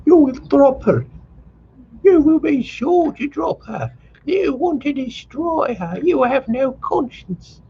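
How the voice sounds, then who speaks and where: A man voicing a frantic older mother who scolds and wails in a shrill, accusing voice. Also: expressive, unhurried, gravelly, muffled recording, a little hiss or hum, heavily acted. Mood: frantic scolding.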